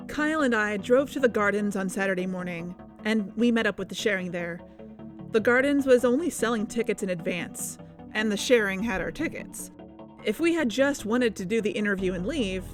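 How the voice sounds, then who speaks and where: A woman's voice: mid-range voice, natural voice. A woman playing a practical investigator, narrating a podcast drama in a matter-of-fact way. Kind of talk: scripted first-person narration.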